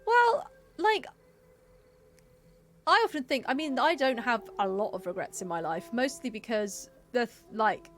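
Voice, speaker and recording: A young woman live streaming with casual game commentary, musing out loud in a chatty, informal way. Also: fairly high pitch, expressive, measured pace, soft background music. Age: young adult.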